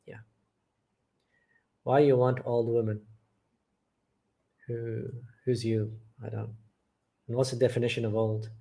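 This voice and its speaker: A man from England runs a casual live chat on his channel. He reads viewer comments aloud and answers each one with plain, blunt opinions, speaking at a middling pitch in an everyday, conversational way. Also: little pitch movement, slow, vocal fry, pauses often, mellow. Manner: matter-of-fact, blunt opinions. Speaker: channel host.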